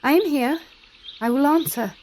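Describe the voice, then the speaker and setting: An actress playing a devoted, tender young woman with formal, expressive speech in an audio drama. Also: high-pitched, normal speed, a little hiss or hum, natural voice.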